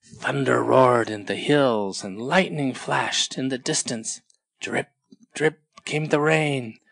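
A storyteller reading a children's picture book aloud in a measured, expressive way. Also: mid-range voice, gravelly, dry recording. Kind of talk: scripted children's storybook narration.

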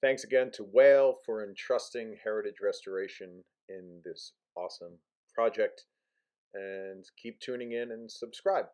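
A man in his thirties narrating a restoration project for his company in a steady, explanatory way, with many filler sounds. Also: lowish voice, normal speed, smooth.

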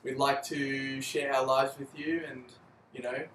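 A young man with an Australian accent talks in a loose, rambling way, full of "um"s and quick laughs. He sits back from the camera in a bright flat, so his voice sounds roomy and a bit distant with a light hiss behind it. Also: mid-range voice. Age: young adult. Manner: rambling, jokey, laughs often, many fillers. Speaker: vlogger. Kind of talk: casual couple vlog intro. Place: apartment by big windows, indoors. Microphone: camera mic from a few feet away. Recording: roomy, distant, slight noise.

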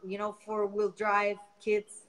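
A woman's voice: mid-range voice, monotone, slow, fairly bright tone, rough recording. Brief: Costa Rican woman, 30s, Spanish-accented English, friendly guest, loose and rambling on a video call. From Costa Rica. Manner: friendly, rambling, repeats words while searching for them. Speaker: guest, founder of a beach cleanup project. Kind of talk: casual interview answers.

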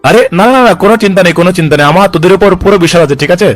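A slippery cartoon policeman voiced by a man, high for a male voice and talking extremely fast. He is cocky, crooked and coarse, smoothly brushing off worries over faint music. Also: natural voice. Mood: cocky, conspiratorial. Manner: swaggering, coarse, glibly reassuring. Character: corrupt, cocky, foul-mouthed male police officer, slippery. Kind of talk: comic cartoon dub dialogue.

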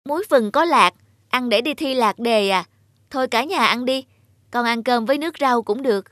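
A woman's voice: fairly high pitch, moderate pace, a bit bright, no room sound, clean studio sound, lightly acted. A woman playing a fussy, stubborn student daughter in a cartoon, whiny and dramatic. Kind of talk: Vietnamese cartoon dialogue.

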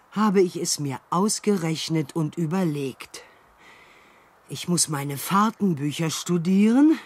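An actor playing a fussy, kindly, asthmatic old being in a radio play, with a wheezy, ponderous delivery. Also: male voice, sits high, exaggerated voice. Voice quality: husky, wheezy. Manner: slow, ponderous, expressive.

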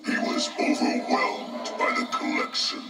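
A man's voice: a little slow, natural voice. A man voicing a menacing, solemn undead being made of many souls, speaking formally for a video game. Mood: cold anger. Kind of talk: video game fight dialogue. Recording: loud music over game audio.

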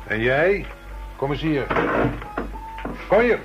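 An actor playing a brisk, homely bachelor policeman in a radio drama, with an animated, informal manner. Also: fairly high pitch, music in the background. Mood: stern. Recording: dull, noisy radio drama recording.

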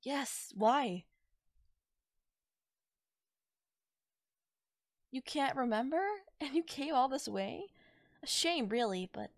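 A woman plays a mysterious, teasing witch in an audio roleplay, speaking straight to the listener. Her voice is fairly high and very expressive, with coy, musing pauses. The sound is dry and intimate, without room echo. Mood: curious, coy.

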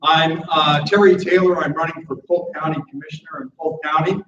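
Loud joking man in an echoey room. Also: on the high side, steady tone, a little fast, a crowd in the background. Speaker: candidate for office. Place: large meeting room. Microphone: far camera, no mic. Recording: echoey room, distant and dull.

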